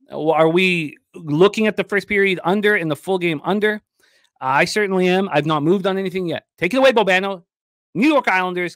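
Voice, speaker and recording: A man's voice: high-pitched, fairly bright tone, no echo. A man hosting a sports betting show, talking casually into a close desk microphone. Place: indoor studio setup at a desk. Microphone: large desk microphone close to the mouth.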